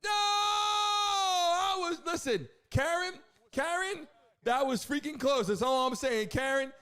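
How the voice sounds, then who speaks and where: Very high-pitched hype streamer man. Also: slow pace. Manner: excitable, repetitive shout-outs to viewers. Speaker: live stream host. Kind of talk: hype live stream banter with chat.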